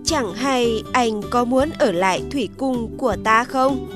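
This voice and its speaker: A woman voicing a stately, benevolent sea king in a cartoon folktale, formal and measured. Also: fairly high pitch, over music, lightly acted. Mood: gracious.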